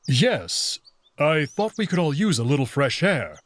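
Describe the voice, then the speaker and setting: Man voicing an eager wolf prince with lively pitch. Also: a little slow. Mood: uneasy, placating. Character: eager, casual male wolf prince, easygoing and impatient. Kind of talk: visual novel character voicing.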